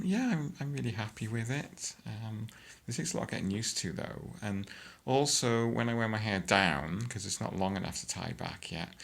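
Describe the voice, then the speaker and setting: A deep, gravelly voice from a woman talking straight to camera in a relaxed vlog. She hesitates a lot, filling gaps with ums and clearing her throat between thoughts. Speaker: vlogger. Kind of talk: casual vlog update.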